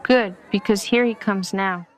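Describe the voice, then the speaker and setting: A woman playing a calm, wise and candid character in a scripted drama. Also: mid-range voice, a little slow, lightly acted. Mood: firm, persuasive. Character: calm, wise, candid Native Alaskan police chief's daughter. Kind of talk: scripted audio drama dialogue. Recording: loud guitar music under the voice.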